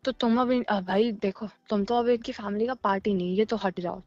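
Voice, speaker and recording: A woman's voice: medium pitch, brisk, darkish tone, dry recording. A woman in a casual phone conversation, reasoning persuasively and repeating phrases to get her point in. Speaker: caller in a private phone conversation. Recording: dull lo-fi phone call recording, music behind.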